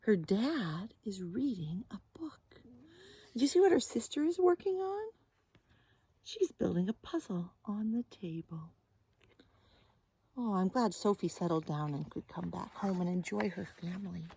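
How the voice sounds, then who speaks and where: A woman reading a picture book aloud to young children as a teacher, gently and with sing-song, exaggerated expression, often addressing them directly. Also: medium pitch, very animated, measured pace, vocal fry, breathy edge.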